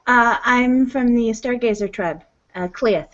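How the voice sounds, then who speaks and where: A woman's voice: a little high, measured pace. A woman speaking casually in character in a tabletop roleplaying game, polite and a little hesitant. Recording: dull, lo-fi audio.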